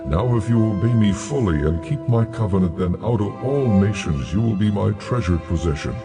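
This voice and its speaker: An actor playing a solemn, commanding almighty deity in a dramatized scripture production, with authoritative pronouncements. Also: male voice, lowish voice, expressive, fairly quick. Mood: solemn, majestic. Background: prominent music.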